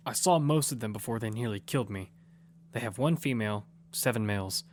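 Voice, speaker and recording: A man's voice: fairly low pitch, fairly quick, slight background noise, close to an everyday voice. An actor playing a wary young man who narrates and speaks in a battle-survival audio drama. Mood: cautious, serious. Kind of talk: first-person audio drama narration and dialogue. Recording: music behind the voice.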